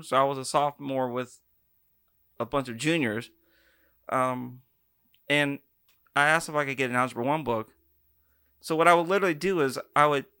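A man's voice: mid-range voice, pushed and bright, clean tone, no room sound, studio quality. A man co-hosting a show, a math teacher telling a casual story about school in an easygoing, teasing way.

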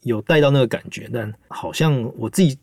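A man's voice: mid-range voice, brisk, no echo. A man with a Taiwanese Mandarin accent, chatting casually as a podcast host and director about audio drama production.

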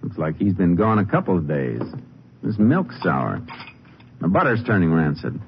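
A calm, methodical Texas Ranger with a low voice. He talks tersely and plainly, as in a 1950s radio western. The old recording is dull and heavily hissy. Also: normal speed, gravelly, full character voice. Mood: probing, serious. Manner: terse, matter-of-fact. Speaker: lead actor, also narrating the case. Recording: noisy, muffled vintage radio recording.

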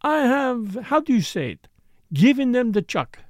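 A man's voice: very high-pitched, very animated, unhurried, no echo, studio quality, voice pushed up, full character voice. A man reading aloud voices an insolent, seductive French woman with a put-on French accent, teasing and coaxing. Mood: coaxing, mocking.

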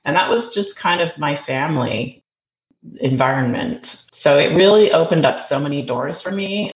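A woman's voice: deep voice, lively intonation, normal speed. A Canadian woman, a podcast guest, telling stories about her childhood in a chatty way and restarting sentences as she goes. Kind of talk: conversational interview. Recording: roomy, dull remote recording with faint noise.

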